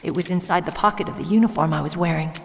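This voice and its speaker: A woman playing a young female soldier in a video game, earnest, measured and determined. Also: on the low side, natural voice. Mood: firm, matter-of-fact. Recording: long hall reverb.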